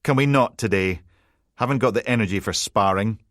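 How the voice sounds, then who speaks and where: A man's voice: fairly low pitch, fairly quick, no room sound, studio quality, lightly acted. Man doing a weary police detective. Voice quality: flat, world-weary. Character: weary male police detective, dry and tired.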